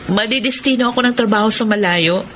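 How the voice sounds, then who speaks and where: An actress playing a tender, sorrowful woman parting from her lover in a Filipino radio drama, speaking with halting, sentimental emotion. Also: fairly high pitch, a bit bright, slight background noise, natural voice. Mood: bittersweet.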